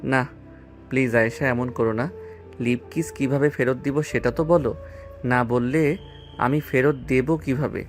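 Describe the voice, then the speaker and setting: A man's voice: medium pitch, fairly even intonation, unhurried, long pauses, lightly acted. A man playing a cocky, carefree young student boyfriend in an audio love story, with a casual, offhand manner. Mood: pleading. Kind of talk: acted dialogue in an amateur audio love story. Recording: voice over background music.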